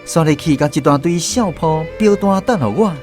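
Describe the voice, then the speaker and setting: Guy acting young taiwanese soldier telling army stories, highish lively voice, music under it. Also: normal speed, close to an everyday voice. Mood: recounting. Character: young soldier narrator recalling army days. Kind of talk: radio drama narration.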